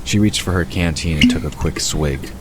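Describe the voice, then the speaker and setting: A man's voice: extremely low pitch, moderate pace. A man reading scripted story narration as the narrator of an audio drama. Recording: constant ambience noise behind narration.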